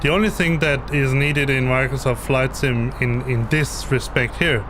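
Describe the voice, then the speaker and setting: A man's voice: medium pitch, normal speed, background noise. A man whose first language is Danish, explaining something on a live stream and often hesitating, restarting and repeating words. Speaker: flight sim streamer. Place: home basement.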